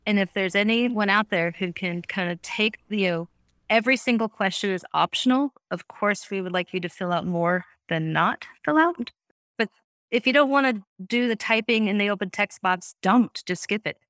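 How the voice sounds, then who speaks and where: A woman's voice: medium pitch, moderate pace, fairly bright tone, no room sound. A woman speaking as a guest researcher in a casual chat, rambling and thinking aloud with many fillers. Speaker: podcast guest, survey researcher.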